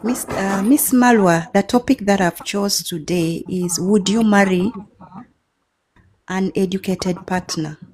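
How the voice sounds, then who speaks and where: A woman's voice: mid-range voice, a little slow, darkish tone. Woman, Ugandan accent, live stream host, casual chat, mid-range voice.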